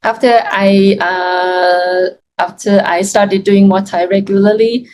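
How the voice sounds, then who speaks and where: Chinese-native woman, halting friendly chat. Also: mid-range voice, fairly even intonation, a little mellow, a little hiss or hum. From Yunnan, China. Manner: hesitant, many restarts and fillers, laughs often. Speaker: guest, freelance Chinese teacher. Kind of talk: casual interview chat.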